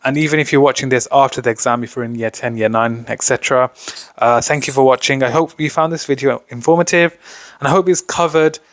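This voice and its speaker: A man's voice: medium pitch, steady tone, fast talker, dry recording. A man giving an explanatory revision lesson as a tutor, speaking instructionally and often posing questions before answering them. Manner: instructional, poses questions then answers them, repeats stock phrases. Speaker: tutor presenting exam revision.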